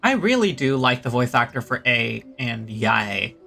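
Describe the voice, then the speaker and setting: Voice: mid-range voice, big pitch swings, unhurried, creaky. A game streamer giving casual, rambling commentary while playing. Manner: chatty, rambling, with restarts and little exclamations. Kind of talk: casual commentary over gameplay. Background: loud game music and sound effects.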